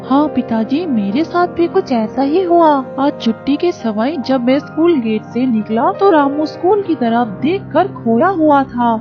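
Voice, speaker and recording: A woman voicing a sulky, spiteful schoolchild in an animated story. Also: high voice, a little slow, hardly any pauses. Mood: aggrieved, puzzled. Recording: dull lo-fi track with music behind.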